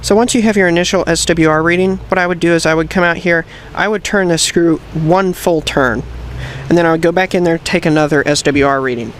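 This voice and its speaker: Higher-pitched man giving a tutorial, noisy background. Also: fairly quick, a bit bright. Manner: plain, methodical instructional delivery. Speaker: presenter of a how-to video. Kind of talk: hands-on tutorial, explaining step by step. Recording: clear background noise, vehicle ambience.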